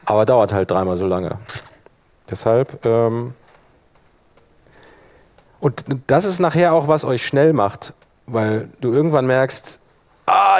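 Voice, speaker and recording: A man's voice: medium pitch, vocal fry, darkish tone. Male workshop presenter, colloquial, talks through each step as he demonstrates, thinking aloud. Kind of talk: informal software tutorial, demonstrating step by step.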